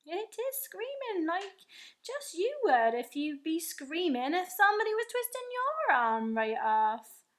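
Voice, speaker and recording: A woman voicing a big, gentle old male giant who talks earnestly in broken grammar. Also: very high voice, measured pace, voice pushed up, exaggerated voice. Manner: broken grammar, folksy, animated.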